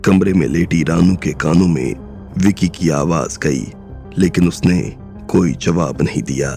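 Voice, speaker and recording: A man tells the story in a slow, measured voice. His tone sits very low and has a gravelly rasp. Soft background music plays under the narration.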